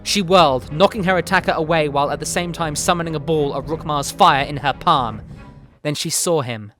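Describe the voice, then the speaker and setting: A man reading a fantasy story aloud as narrator, with dramatic, deliberate phrasing. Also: fairly high pitch, fairly bright tone. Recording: music behind the voice. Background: background music.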